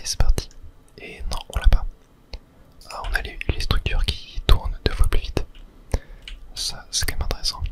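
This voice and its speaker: Someone whispering softly and breathily, thinking aloud in a casual ASMR gameplay commentary. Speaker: video game streamer narrating play.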